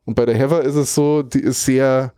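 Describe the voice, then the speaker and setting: A German man talks in a loose, conversational way. He recounts things thoughtfully, rambles a little and gropes for the right word mid-sentence. His voice is dark and mellow, and the sound is dry and close with no room around it. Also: mid-range voice, steady tone, fairly quick, clean tone, no echo. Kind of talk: casual conversational recap.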